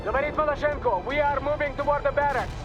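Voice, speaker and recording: A man playing a hot-headed, fanatical Soviet army captain with a Russian accent, speaking forcefully and urgently in a military video game. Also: extremely high pitch, fast, muffled recording, over music. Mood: urgent, combative. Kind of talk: scripted video game military radio dialogue. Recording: loud battle noise behind. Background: artillery fire, gunfire and vehicles.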